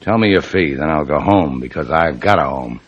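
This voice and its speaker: Deep-voiced, wry American tough guy. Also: animated, moderate pace, lo-fi sound. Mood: wry, suspicious. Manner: laconic, sardonic. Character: tough, wry, wounded boat skipper. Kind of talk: 1940s-50s American radio drama acting.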